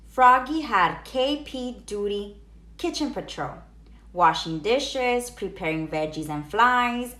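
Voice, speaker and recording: A woman's voice: fairly high pitch, a bit bright. A woman reading a children's storybook aloud, expressively and with emphatic sound words. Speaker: teacher reading children's books aloud, channel host. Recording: roomy echo.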